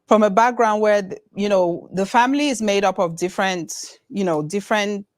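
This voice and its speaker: A woman's voice: medium pitch, normal speed. Rambling woman podcast host asking questions. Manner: rambling, repeats words while framing questions. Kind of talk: casual interview.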